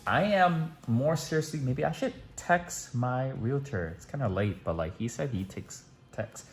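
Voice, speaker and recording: A man's voice: mid-range voice, animated, a little slow, vocal fry. A young man chatting casually to camera at home in a daily vlog, full of "like" fillers. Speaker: vlogger.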